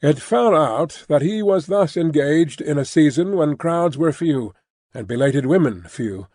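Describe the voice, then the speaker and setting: A man reading an audiobook as scripted literary narration, in a measured, formal storytelling manner. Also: on the high side, expressive, dark tone, no echo, studio quality.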